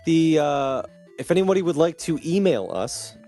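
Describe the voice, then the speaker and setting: A man's voice: fairly high pitch, very animated, moderate pace, warm tone, soft background music. A man co-hosting a podcast in casual, conversational talk, swearing freely. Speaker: podcast co-host. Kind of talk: casual chat.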